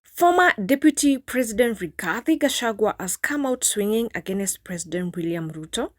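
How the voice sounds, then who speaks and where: A woman's voice: mid-range voice, animated, normal speed, no echo, studio quality. A woman reading scripted news narration in a formal manner, occasionally stumbling over the script.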